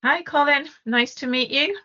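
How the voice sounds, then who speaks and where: A woman's voice: high-pitched, moderate pace, bright, forceful tone, lo-fi sound. A woman co-hosting a podcast, chatting casually and putting questions as interviewer.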